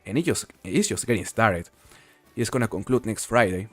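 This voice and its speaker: Casual male streamer, lowish voice, easygoing talk to chat, dry mic. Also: moderate pace, no room sound, soft background music. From Mexico. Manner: relaxed, chatty, answers viewers while playing. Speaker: game streamer. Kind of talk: casual live-stream commentary, reading chat.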